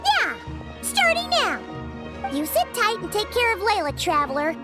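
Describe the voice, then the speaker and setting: Squeaky little fairy sidekick, extremely high-pitched. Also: female voice, wildly swooping pitch, moderate pace, loud music behind the voice, full character voice. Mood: apologetic, eager. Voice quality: squeaky, childlike. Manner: refers to self in third person, exclamatory. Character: small childlike fairy companion, excitable, exasperated, easily flustered. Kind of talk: video game character voice acting.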